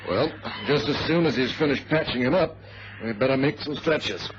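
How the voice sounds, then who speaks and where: An actor playing a steady, cautious airline captain with a hesitant, practical way of talking in a radio drama. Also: male voice, mid-range voice, moderate pace, natural voice. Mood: firm, weary. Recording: noisy muffled vintage radio drama recording.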